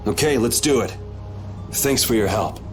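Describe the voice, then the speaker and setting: Man playing determined young game hero, mid-pitched, blunt, casual delivery, faint music. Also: moderate pace, close to an everyday voice. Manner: casual, direct. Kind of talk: video game voice acting.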